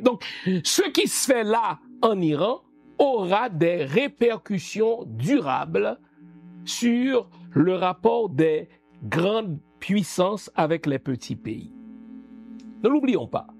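A man's voice: fairly high pitch, very animated, a little slow, a little mellow, soft background music. A man from Haiti giving geopolitical commentary in a didactic, emphatic, rhetorical manner. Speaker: political commentator.

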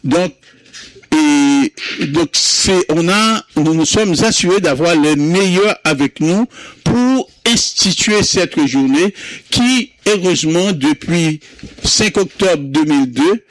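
Slow, hesitant man with a Creole mother tongue. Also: a little high, expressive, low-quality recording. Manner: slow, hesitant, many fillers and restarts. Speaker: founder of a community Creole month. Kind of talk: interview recollection.

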